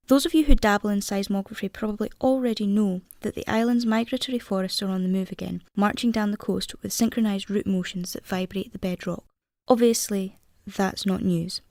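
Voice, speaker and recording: A woman as a brisk, matter-of-fact field naturalist. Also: mid-range voice, darkish tone, no echo, clean studio sound, close to an everyday voice. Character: brisk, capable female field naturalist radioing a report. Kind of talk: scripted radio transmission report, fiction podcast.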